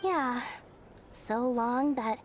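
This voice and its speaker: A woman's voice: on the high side, extremely slow, slight background noise. A woman playing a small, money-loving young merchant in a video game, with a chirpy, theatrical delivery. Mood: wistful.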